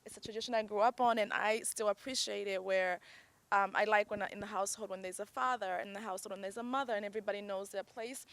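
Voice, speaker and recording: A woman's voice: mid-range voice, fairly even intonation, quick pace, fairly bright tone, dry recording. A woman from Zambia, frankly giving her opinion in a casual panel discussion. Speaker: panel guest.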